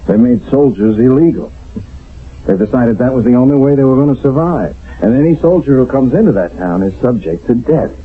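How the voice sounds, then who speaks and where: A man playing a grim, world-weary army sergeant in a radio drama, speaking gravely and plainly with emphasis. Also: medium pitch, animated, fairly quick. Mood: grave, wry. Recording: dull old radio recording.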